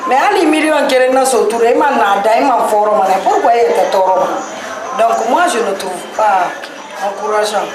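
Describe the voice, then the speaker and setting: A woman speaking to a gathering, calling out names one by one and praising each, lively and talkative. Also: mid-range voice, moderate pace. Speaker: participant at cooking event. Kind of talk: spontaneous public speech. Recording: echoey room with background noise.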